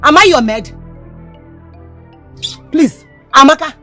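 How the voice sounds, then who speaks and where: A woman's voice: sits high, lively intonation, slow pace, pushed and bright, soft background music, exaggerated voice. A woman with a Nigerian accent acting an indignant, scolding village woman in a film drama, firing off rhetorical questions.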